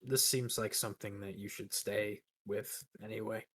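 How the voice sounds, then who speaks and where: A man's voice: lowish voice, fairly even intonation, moderate pace, fairly bright tone, husky, dry recording. Earnest man in close, dry sound. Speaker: player in a roleplaying game. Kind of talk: in-character improvised roleplay.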